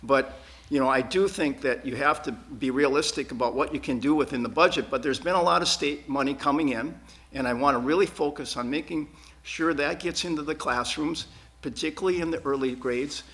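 A man's voice: a little high, fairly quick, fairly bright tone. A man speaking as a school board candidate at a forum, earnest and emphatic, repeating words to drive points home. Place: public access TV studio.

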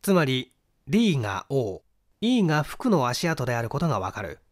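A man reading a scripted mystery novel as narrator, in a measured, formal and precise manner. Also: medium pitch, very animated. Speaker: audiobook reader, stage actor.

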